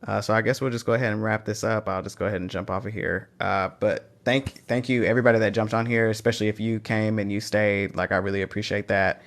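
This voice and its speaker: A man's voice: fairly low pitch, fairly even intonation, fast, few pauses, fairly bright tone. A man with a Texan accent hosting a live phone stream, reading out chat questions and encouraging language learners. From Texas. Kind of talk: casual livestream Q&A.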